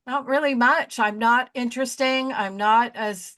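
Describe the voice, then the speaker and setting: A woman in her sixties talks in a rambling, casual way, stopping to hunt for her train of thought. Her voice sits a little high, and she pokes fun at herself. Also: a little slow, a bit bright. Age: 60s. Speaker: podcast co-host. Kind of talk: casual chat.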